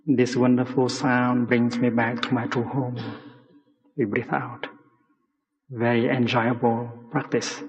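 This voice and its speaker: Very slow, husky man guiding meditation. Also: mid-range voice. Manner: gentle, deliberate, short phrases with long pauses. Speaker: meditation teacher leading a guided mindfulness exercise. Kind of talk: guided meditation talk to a community. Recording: muffled recording in a long-reverberant hall.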